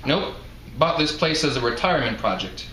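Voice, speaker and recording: A man's voice: mid-range voice, expressive, moderate pace, bright, forceful tone. A man voicing a chatty, blunt elderly woman bartender in a folksy manner while reading a novel aloud. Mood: chatty, friendly. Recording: echoey room, faint background noise.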